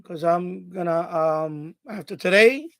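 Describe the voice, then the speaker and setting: A man's voice: high-pitched, measured pace, creaky, a bit bright, dry recording, studio quality. A man hosting a hobby live stream, chatting casually in a rambling, repetitive way. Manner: rambling, repeats himself.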